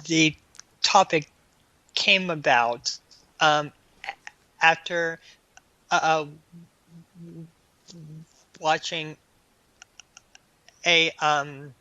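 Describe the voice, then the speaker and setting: A man answering as an interview guest in a halting, stammering way, often repeating sounds and words. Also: a little high, bright, forceful tone, clear, resonant tone, no room sound, lo-fi sound. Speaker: guest author and anthology editor.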